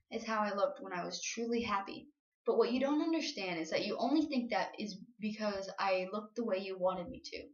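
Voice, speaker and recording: A young adult woman performing spoken-word slam poetry with an earnest, emotional, confessional delivery. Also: medium pitch. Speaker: slam poet. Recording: roomy, dull lo-fi home recording.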